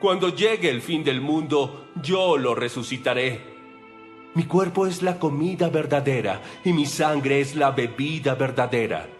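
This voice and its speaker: A solemn male holy teacher played by an actor speaks slowly and deliberately in an audio Bible drama. His pitch is middling and his manner is assured and declarative, with faint music behind him. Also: natural voice.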